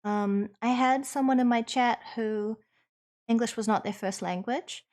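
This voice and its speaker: A woman with a New Zealand accent, streaming and chatting with viewers in an earnest, reassuring way, pausing with ums as she thinks. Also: on the high side, darkish tone. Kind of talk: casual chat answering viewers.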